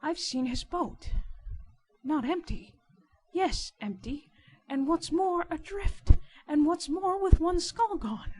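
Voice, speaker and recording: A woman voicing a rough, sly, boastful working-class riverman informer in an audiobook, with insistent colloquial speech. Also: very high voice, slow pace, darkish tone, pitched above the performer's own voice, low-quality recording. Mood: excited, astonished. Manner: colloquial, insistent, nonstandard grammar. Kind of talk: dramatic audiobook character reading.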